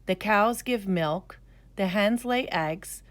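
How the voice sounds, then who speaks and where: A woman storyteller narrating a scripted children's story in a careful, expressive way. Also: medium pitch, takes it slowly.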